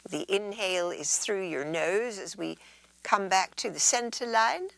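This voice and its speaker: A woman teaching yoga in a soothing, unhurried way, guiding relaxation and gentle stretches and drifting into breathy near-whispers. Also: mid-range voice, animated, no room sound.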